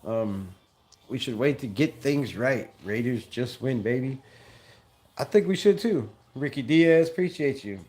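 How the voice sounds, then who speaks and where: A man's voice: mid-range voice, expressive, a little slow, darkish tone. A young man from Southern California hosting a casual fan livestream, talking loosely in slang and repeating himself as he reads chat comments. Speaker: fan channel livestream host.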